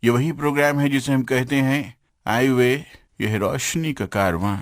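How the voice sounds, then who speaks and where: A man's voice: medium pitch, moderate pace, no echo, studio quality. A man with an Indian accent presenting a radio show in scripted, flowery phrasing.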